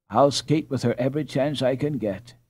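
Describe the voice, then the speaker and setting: A man voicing a rough, burly, lovestruck boy in a storybook, cocky and boastful. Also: medium pitch, unhurried, darkish tone, no echo, lightly acted. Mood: cocky, amused.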